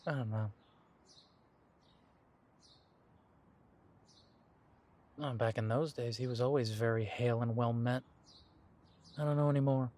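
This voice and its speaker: A young man playing a restless grown son coming home, halting at first and then pressing. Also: medium pitch, darkish tone, natural voice. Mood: uneasy. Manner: hesitant, then pressing and insistent.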